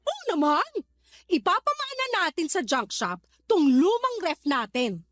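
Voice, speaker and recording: High-pitched, boastful Filipino mother. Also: wildly swooping pitch, measured pace, fairly bright tone, no room sound, studio quality, heavily acted. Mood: boastful, showy. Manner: exaggerated, swooping delivery. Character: boastful, envious Filipino mother, one-upping her neighbor. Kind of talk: radio drama acting. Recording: light background music under dialogue.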